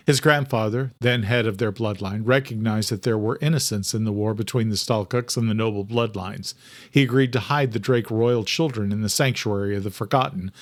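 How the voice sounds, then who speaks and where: A man giving a steady, scripted narration of a horror audiobook. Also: medium pitch, no echo.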